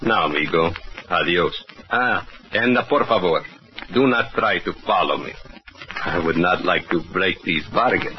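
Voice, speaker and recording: An actor playing a calm, amused Mexican hired killer who speaks broken English with a Mexican Spanish accent, in 1940s radio acting. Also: male voice, low voice, measured pace. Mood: calmly menacing. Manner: broken English, chuckling, matter-of-fact menace. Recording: dull old radio broadcast with faint hiss.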